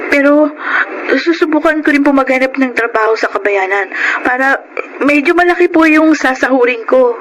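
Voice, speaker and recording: A woman's voice: high-pitched, expressive, moderate pace. A woman with a Filipino accent playing a dutiful, respectful teenage daughter in a radio drama. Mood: caring, gentle. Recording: noisy radio drama recording.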